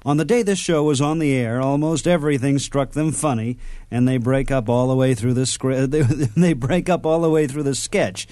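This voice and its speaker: A man's voice: mid-range voice, normal speed, dark tone, dry recording. A man hosting a radio program, narrating introductions to old comedy clips in a plain scripted style.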